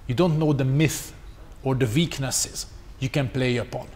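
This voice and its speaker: A man whose first language is Hungarian speaks English on a panel in a steady, analytical way. He lays out his arguments step by step. His voice rings through a large hall with long reverb and a faint background hum. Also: mid-range voice. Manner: measured, analytical, non-native English. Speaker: conference panelist. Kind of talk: panel discussion. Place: conference hall.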